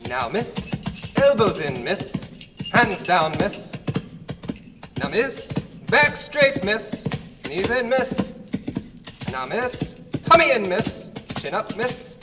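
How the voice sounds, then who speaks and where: A strict radio-play instructor who barks short, slow commands at a pupil. The voice is high and swings wildly in pitch, in an echoey hall. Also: sing-song, over loud music. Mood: barking, strict. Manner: clipped, escalating, barked commands. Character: stern music and riding master, barking commands at a child pupil.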